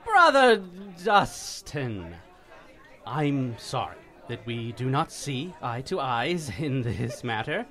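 A man's voice: medium pitch, sing-song, slow pace, crowd noise. An actor playing a boisterous, grandiose male revolutionary in an audio drama.

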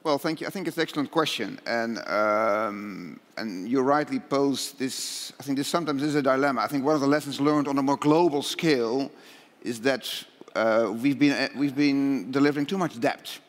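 Halting man speaking in a reverberant hall. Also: medium pitch. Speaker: conference panelist. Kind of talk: off-the-cuff panel answer. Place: large conference hall stage. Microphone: headset. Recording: long hall reverb from auditorium sound system.

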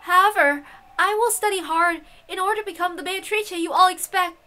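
A woman's voice: extremely high pitch, brisk, bright, forceful tone, pitched above the performer's own voice. A woman voicing a meek, hesitant young witch girl, earnest and anxious to please, in a visual novel read-aloud.

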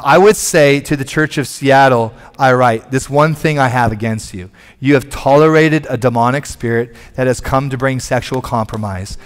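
A man in his forties, a pastor preaching a sermon from a church stage on a headset mic, animated and conversational, with frequent restarts. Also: medium pitch. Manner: animated, moving about the stage and gesturing.

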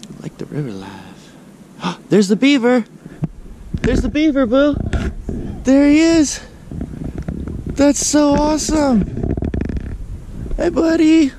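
Guy vlogging by a river on a handheld cam, slow easy talk, lots of outdoor noise. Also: very high-pitched. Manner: excitable, easily thrilled by wildlife. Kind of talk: casual outdoor vlog. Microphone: handheld action camera at arm's length. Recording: outdoor recording with loud background noise.

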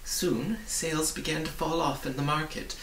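A gentle, sorrowful old lady from a storybook, acted out by a man reading aloud. She reminisces in formal, wistful phrases, with a creaky edge. The recording is roomy and hissy. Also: a little high, fairly even intonation, normal speed. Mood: regretful. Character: sad, gentle old woman, a disgraced former royal official. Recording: roomy, distant mic, hissy.

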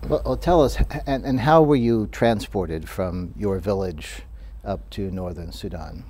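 Low mellow-voiced middle-aged host. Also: male voice, a little slow, a little hiss or hum. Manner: prompting, stammering restarts. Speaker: TV interview host. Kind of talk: interview questions. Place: TV studio set. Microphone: lapel mic.

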